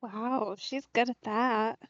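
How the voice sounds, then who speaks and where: A woman live-streaming a game with casual, colloquial commentary. Her voice is a touch high and very unhurried, laid-back in delivery, on a dry mic. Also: steady tone, dry recording. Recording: dull, slightly lo-fi mic.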